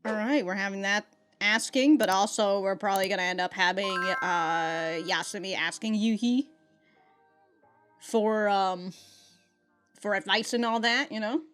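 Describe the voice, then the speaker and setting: A woman giving casual, animated reaction commentary as a video host, chatty and slangy, swearing freely. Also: mid-range voice, unhurried, faint music behind. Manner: chatty, laughs often while talking.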